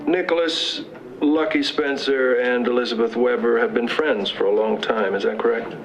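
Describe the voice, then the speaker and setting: A man's voice: mid-range voice, steady tone, moderate pace, background noise, lightly acted. An actor playing a sardonic, showy man who runs a makeshift courtroom prosecution in a soap drama, with folksy sarcastic slang. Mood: probing, brisk. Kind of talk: television soap drama acting.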